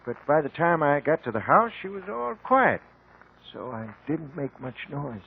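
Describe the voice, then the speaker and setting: A laconic country deliveryman from an old radio play. His rough-edged voice answers tersely in folksy, rustic speech. The recording is thin and narrow like a vintage broadcast. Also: on the high side, sing-song, normal speed, full character voice. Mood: laconic, matter-of-fact. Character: plain-spoken, laconic rural grocery delivery man. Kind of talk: 1950s radio drama acting.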